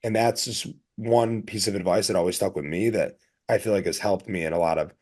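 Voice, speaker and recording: A man with a fairly low voice speaks as a podcast co-host. His delivery is casual and earnest, wandering along in a loose, anecdotal, conversational flow. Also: fairly quick, a little mellow.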